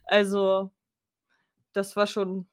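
A woman's voice: mid-range voice, monotone, very slow. A woman co-hosting a podcast, chatting casually and answering in short, agreeable replies. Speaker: podcast co-host. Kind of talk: casual unscripted chat.

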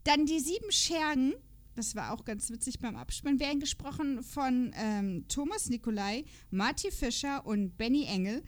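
Woman podcaster w a high voice, chatting casually, kinda jokey, going thru her notes. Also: animated, moderate pace. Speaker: podcast host.

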